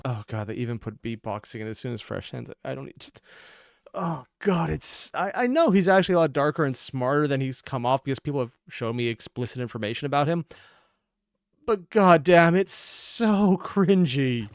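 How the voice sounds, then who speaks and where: A man hosts a reaction video in a loose, conversational style. His medium-pitched voice moves up and down with exclamations and swearing. The recording is tight and dry. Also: animated, normal speed, clean tone, no room sound. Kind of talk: casual reaction commentary.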